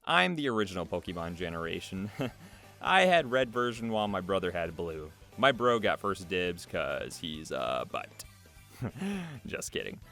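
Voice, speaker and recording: A man's voice: low-pitched, very animated, a little slow, sharp tone. A man hosting and reading out an interview in an upbeat, scripted narration style, often chuckling between lines. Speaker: host reading an artist's written interview answers. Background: background music.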